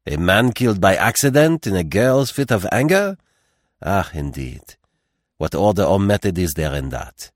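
A fussy, philosophical gentleman detective acted by a male narrator with a French accent. He is precise and dignified, with theatrical swoops in pitch. The audiobook sound is close and dry. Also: lively intonation, moderate pace, dry recording.

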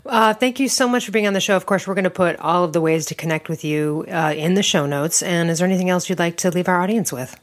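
A woman hosts a podcast in a somewhat low voice, playful and quick to joke with her guest. Her talk stays loose and conversational. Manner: playful, laughs easily. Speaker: podcast host. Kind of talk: casual interview chat.

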